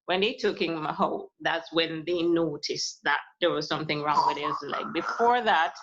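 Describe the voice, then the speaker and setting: A woman from Jamaica, a musician in her thirties, speaking softly and hesitantly with many fillers in a casual interview. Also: sits low, moderate pace. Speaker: musician, guest. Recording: lo-fi muffled audio.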